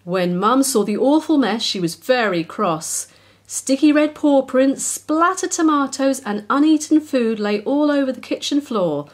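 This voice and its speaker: A woman's voice: sits high, lively intonation, a little slow. A woman narrating a children's story expressively as its author.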